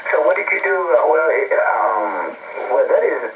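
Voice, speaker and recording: A man's voice: fairly high pitch, animated, normal speed. A man chatting casually over two-way amateur radio, telling things in a folksy, rambling way with repeated phrases and radio sign-offs. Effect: two-way radio transmission. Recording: noisy shortwave radio transmission with static.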